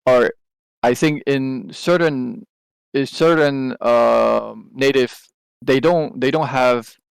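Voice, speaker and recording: Gravelly man. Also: mid-range voice, takes it slowly, dry recording, poor audio. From Taiwan. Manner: rambling, with many restarts and hesitant fillers. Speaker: podcast co-host. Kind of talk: casual chat.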